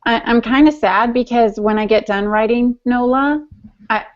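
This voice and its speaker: A woman's voice: fairly high pitch, moderate pace, dull audio. A woman podcast co-host chatting casually in a talkative, teasing manner. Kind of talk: casual chat.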